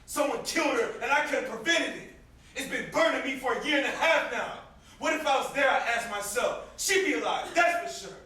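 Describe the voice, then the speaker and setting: A man's voice: extremely high pitch, a little fast, bright, forceful tone. A young man on an amateur stage playing a bitter, guilt-ridden brother in mourning, with vehement, declamatory delivery. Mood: anguished guilt. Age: young adult. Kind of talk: amateur stage drama acting. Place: indoor stage, recorded from a distance. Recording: very echoey, noisy stage recording from far off.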